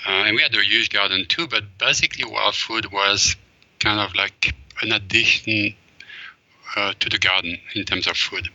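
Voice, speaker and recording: A man's voice: on the low side, normal speed, pushed and bright, no room sound. A middle-aged man from Belgium, an interview guest who rambles with many fillers and restarts. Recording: dull, dry, lo-fi sound.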